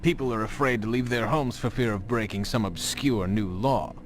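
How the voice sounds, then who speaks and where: A man's voice: on the low side, moderate pace, slight background noise, lightly acted. A man voicing a shrewd, guarded rebel contact in a video game cutscene. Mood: guarded, businesslike. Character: wary, shrewd male rebel contact, helpful to assassins. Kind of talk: scripted game cutscene acting.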